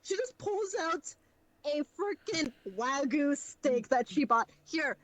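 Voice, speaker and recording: A woman's voice: high-pitched, lively intonation, takes it slowly, dry recording. A woman improvising in an online roleplay, eager and pleading, often repeating the start of her phrases. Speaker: roleplay player. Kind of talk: improvised roleplay, casual chat. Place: online VRChat session. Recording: faintly noisy voice-chat microphone.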